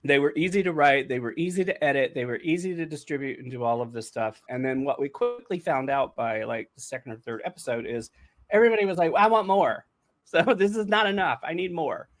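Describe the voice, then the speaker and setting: Male podcast host, pitched a bit high, chatty and playful, informal behind-the-scenes talk. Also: animated, fairly quick, faint music behind.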